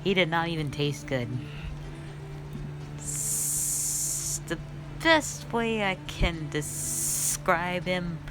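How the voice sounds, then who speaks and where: A woman acts out a cute, sassy snake-tailed forest monster for a roleplay audio. She speaks in a slightly low, unhurried female voice, teasing bluntly and haltingly. Music plays clearly behind her. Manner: chatty, halting, blunt teasing with eager bursts. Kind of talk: roleplay audio addressed to the listener. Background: background music.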